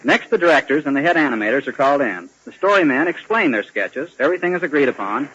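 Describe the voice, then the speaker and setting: A man's voice: a little high, lively intonation, quick pace, a bit bright, slightly rough. A man giving formal, earnest explanations as a guest in a prepared 1940s radio interview. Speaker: animation director guest. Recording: dull vintage 1940s radio broadcast.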